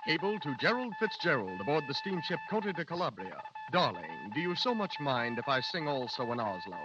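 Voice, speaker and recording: Over clear noise on a dull old radio recording, a man plays a telegraph operator reading cables aloud. His pitch moves in a lively way, in 1930s radio drama style. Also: fairly quick, lightly acted. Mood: businesslike.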